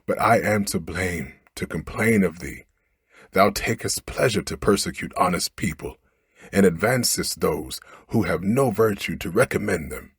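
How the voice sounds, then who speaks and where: A man's voice: sits low, lively intonation, moderate pace, breathy edge, no echo, studio quality, heavily acted. A man voicing a poor storybook fisherman who speaks in archaic, plaintive and dramatic words. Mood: lamenting. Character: poor fisherman, lamenting and pleading, bold when startled. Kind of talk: storybook character read aloud.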